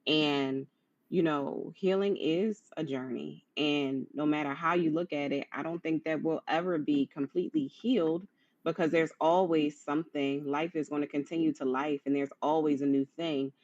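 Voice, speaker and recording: A woman with a low-pitched voice hosting a conversational interview in an encouraging, affirming manner. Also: darkish tone, dry recording. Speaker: interview host. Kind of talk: conversational live-streamed interview. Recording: dull lo-fi online stream audio.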